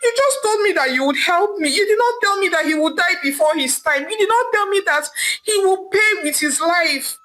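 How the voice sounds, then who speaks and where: A woman's voice: very high-pitched, animated, moderate pace, bright, forceful tone, voice pushed up, exaggerated voice. A woman storyteller voicing a desperate young woman who cries and pleads in fear. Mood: anguished protest. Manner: crying, pleading, repeating herself.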